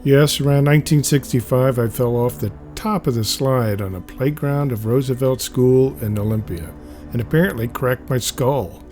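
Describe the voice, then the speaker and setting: A man's voice: mid-range voice, normal speed, music in the background. A man giving a scripted narration, reading a memoir-style comic aloud.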